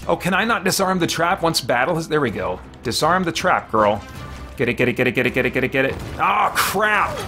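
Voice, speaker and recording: A man's voice: fairly high pitch, very animated, fairly quick, bright, forceful tone. Playful man streaming a game over music. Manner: playful, talks to himself and to the game characters. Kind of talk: casual livestream gameplay commentary and chat.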